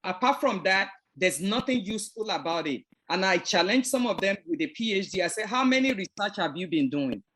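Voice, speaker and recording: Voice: medium pitch, lively intonation, fairly quick, hardly any pauses, a bit bright. A podcast host, speaking earnestly and opinionatedly in an interview. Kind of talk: interview conversation.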